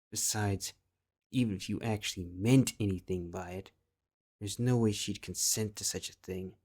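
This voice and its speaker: A man reading scripted fan fiction as a story narrator. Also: low voice. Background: music.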